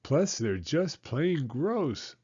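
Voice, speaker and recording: A man voicing a practical, gently firm mother in a storybook reading. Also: fairly high pitch, big pitch swings, drawn-out words, husky, highs cut off, voice pushed up, heavily acted. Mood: matter-of-fact.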